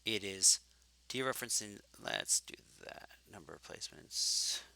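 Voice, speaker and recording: Gravelly, bright-toned man lecturing on code. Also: on the low side, expressive, moderate pace. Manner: thinking aloud, self-correcting. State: getting hoarse. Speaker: programming lecturer. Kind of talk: live coding lecture.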